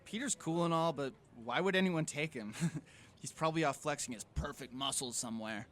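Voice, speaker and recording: A man's voice: a little high, fairly quick, fairly bright tone, slight background noise, lightly acted. A man playing a wisecracking, sarcastic teenage best friend in an audio drama. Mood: flippant.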